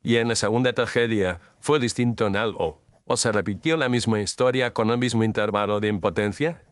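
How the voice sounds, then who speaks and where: A man playing a courteous, precise Victorian gentleman detective in an audio story, with formal, measured phrasing. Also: on the low side, no room sound, close to an everyday voice. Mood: probing, polite. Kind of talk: audio story character dialogue.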